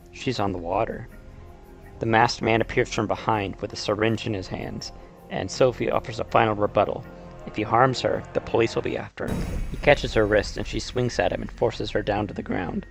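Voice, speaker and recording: A man's voice: on the low side, vocal fry. A man narrating a video essay about games, mixing storytelling with casual, conversational asides. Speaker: YouTuber, video game explainer. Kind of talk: video essay narration, semi-scripted retelling and commentary.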